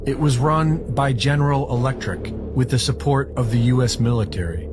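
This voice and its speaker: A man narrating in a steady, factual documentary style. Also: mid-range voice, normal speed, music in the background. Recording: narration with clear background noise.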